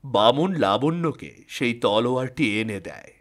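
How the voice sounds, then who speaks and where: A man's voice: medium pitch, expressive, measured pace. Man narrating a fairy tale.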